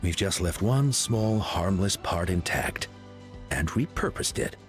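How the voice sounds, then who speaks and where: Low, calm, reasonable leader. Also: male voice, soft background music. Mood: apologetic. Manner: measured, explanatory. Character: calm, reasonable, apologetic male clan leader. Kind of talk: video game voice acting.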